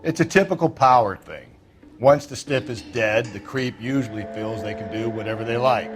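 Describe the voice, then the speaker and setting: A man's voice: medium pitch, moderate pace, close to an everyday voice. A man playing a cynical, hot-headed American homicide detective in a 1940s video game, blunt and slangy. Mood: cynical, menacing. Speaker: police detective. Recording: loud music and car engine behind. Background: loud music, vehicle noise.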